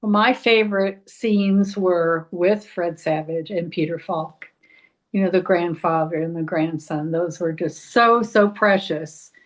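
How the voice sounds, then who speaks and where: A woman's voice: on the low side, a little slow. A woman chatting casually in a group discussion as a film club member.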